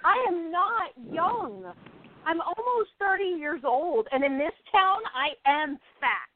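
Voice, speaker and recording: High-voiced, bitter young actress played by a woman. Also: very animated, takes it slowly, no echo, full character voice. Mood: upset, frustrated. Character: depressed, envious, defensive actress almost thirty. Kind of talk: radio play acting. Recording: thin phone-like audio.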